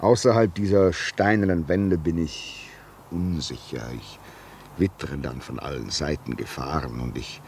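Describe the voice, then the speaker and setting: Deep, slow, haunted railway signalman. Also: vocal fry, a little mellow, slight background noise, exaggerated voice. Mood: lonely, confiding. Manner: halting, brooding, intense. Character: haunted, lonely railway signalman, fearful and suspicious. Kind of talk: German radio play acting.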